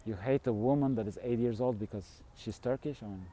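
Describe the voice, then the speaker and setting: A man's voice: medium pitch, fast talker, dark tone. A middle-aged man from Switzerland, rambling candidly through a casual vlog monologue with the odd chuckle. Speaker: fasting coach and vlogger.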